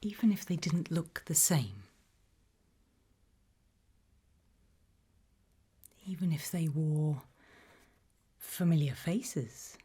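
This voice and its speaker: A very breathy, whispering British woman, acting as a calm investigator in an ASMR interview roleplay. Her voice is low and mellow, and she puts gentle, probing questions with measured pauses. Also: full character voice. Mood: calm, curious.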